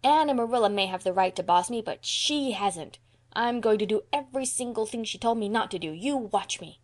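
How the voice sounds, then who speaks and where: A woman's voice: medium pitch, brisk, a little mellow, close to an everyday voice. Woman voicing a defiant, blustering little country boy. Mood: defiant, spluttering. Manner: indignant, blustering, folksy childish grammar. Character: defiant, reckless, scowling young country boy.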